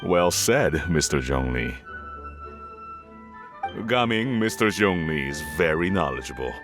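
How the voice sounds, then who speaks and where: Middle-aged game dad: low-pitched tea merchant, stern, formal, gruffly polite, faint score underneath. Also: male voice, animated, unhurried, over music. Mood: impressed, courteous. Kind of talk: video game voice acting.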